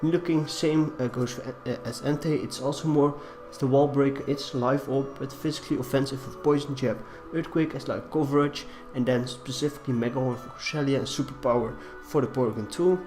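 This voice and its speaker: A young man with a cold, talking quietly and hesitantly as he commentates on a game, with many fillers. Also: medium pitch, fairly even intonation, music in the background. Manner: deliberately quiet, subdued delivery. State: has a cold. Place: room in a shared flat.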